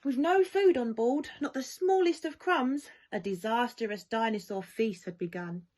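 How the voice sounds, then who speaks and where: A woman reading a rhyming children's storybook aloud in an expressive, lilting way, as school staff do for young pupils. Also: a little high, measured pace, slight background noise. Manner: animated, lilting rhyming delivery.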